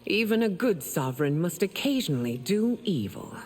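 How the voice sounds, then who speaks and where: Imperious, commanding empress with dramatic swoops. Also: female voice, lowish voice, very animated, moderate pace, very noisy. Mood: stern, regal. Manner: regal, commanding, formal. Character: imperious middle-aged warrior empress, stern and proud. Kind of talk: dramatic video game dialogue.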